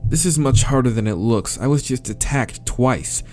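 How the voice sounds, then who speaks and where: A man's voice: medium pitch, expressive, moderate pace, a little mellow, soft background music, lightly acted. A man voicing an exhausted visual novel protagonist who complains and protests.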